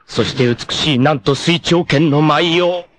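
A man voicing a vain, treacherous martial-arts villain in an anime, with a theatrical, haughty, drawn-out delivery. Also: a little high, a bit bright. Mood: anguished, wistful. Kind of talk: dramatic anime voice acting.

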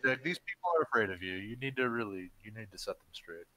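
A man playing his character at a tabletop game, speaking haltingly and trying to calm others. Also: on the low side, poor audio. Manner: halting, placating, lots of fillers. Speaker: player.

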